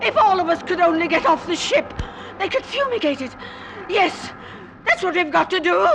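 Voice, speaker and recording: Hysterical, shrill female passenger. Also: expressive, normal speed, a bit bright, full character voice. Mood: pleading panic. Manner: frantic, pleading, shrieking. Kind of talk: old-time radio melodrama acting. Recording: noisy vintage radio, crowd clamor behind.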